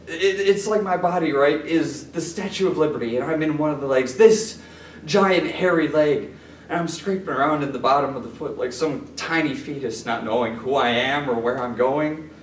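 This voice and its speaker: A man acts out a neurotic, lovelorn man in a theatrical monologue, his fairly high voice full of anguish and self-pity. He speaks emphatically and haltingly, recorded from a distance in an echoing room. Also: close to an everyday voice. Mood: despairing, morbid. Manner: emotional, emphatic, halting delivery. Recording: roomy, distant mic, background noise.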